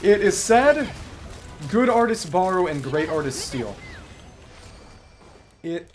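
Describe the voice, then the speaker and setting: A man's voice: sits high, very animated, slow pace, faint music behind. A man livestreaming a video game, giving casual, bookish commentary that wanders into long tangents. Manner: bookish, discursive, digressive.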